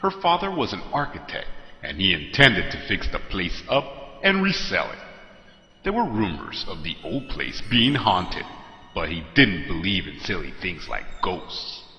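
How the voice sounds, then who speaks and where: A man's voice: low voice, sing-song, unhurried, highs cut off. A man narrating a scary story in a dramatic, scripted style. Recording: echoey long reverb. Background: music.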